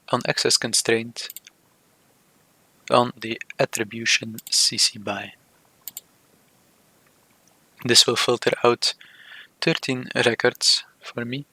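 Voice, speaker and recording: A man narrating a software tutorial step by step, speaking in short, measured, halting phrases. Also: medium pitch, dry recording, poor audio. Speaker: software demonstrator. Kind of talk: scripted tutorial narration of a screen demo.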